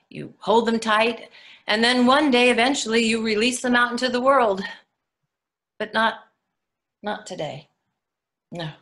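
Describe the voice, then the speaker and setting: A woman playing a wry, blunt, protective mother in a staged monologue, delivered dramatically with emphatic pauses. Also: on the high side, pushed and bright, heavily acted.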